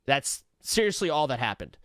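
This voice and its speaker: A man's voice: medium pitch, sing-song, normal speed, no room sound. Man livestreamer rambling casually, close dry sound. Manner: rambling, sweary, slangy. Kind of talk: casual reaction commentary.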